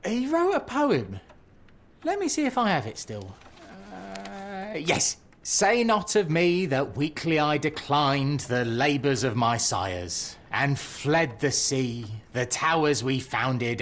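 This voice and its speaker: A man's voice: mid-range voice, slow, slight background noise, full character voice. A man playing an eager, nervous, bookish fellow who speaks politely and hesitantly in a video game. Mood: eager, helpful. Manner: hesitant, stammering, courteous, excitable. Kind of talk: scripted video game cutscene acting.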